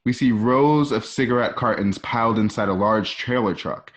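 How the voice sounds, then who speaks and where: A man's voice: medium pitch, normal speed. A man narrating scripted scene directions for an online radio drama, now and then stumbling and correcting himself as he reads. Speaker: narrator reading screen directions. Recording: dull lo-fi home recording.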